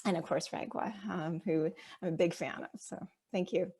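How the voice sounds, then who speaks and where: A woman with a somewhat low voice introduces herself on a panel as a startup founder. She speaks fluently and in a polished, practiced way. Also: steady tone, moderate pace, darkish tone, breathy edge.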